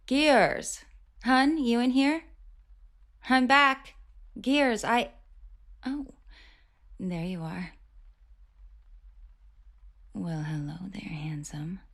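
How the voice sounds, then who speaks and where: A woman's voice: fairly high pitch, very slow, pauses often, fairly bright tone, full character voice. A woman playing a confident villainess in a romantic audio roleplay, teasing, doting and protective, with intimate, whispery sweet talk. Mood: calling out warmly.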